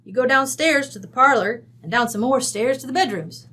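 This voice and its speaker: A woman's voice: high voice, moderate pace, sharp tone. A woman playing a brash, folksy, middle-aged rancher widow with an American West accent, blunt, bossy and slangy, in an old-time radio drama. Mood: breezy, blunt.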